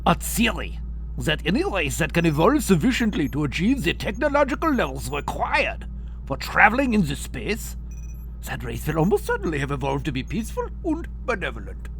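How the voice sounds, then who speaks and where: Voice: medium pitch, huge pitch swings, fairly quick, a bit bright, slight background noise, low-quality recording. An actor playing a jolly, eager German scientist in a comic sci-fi audio drama, with theatrical, jovial delivery. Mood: earnest. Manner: theatrical, jovial, mangled English phrasing.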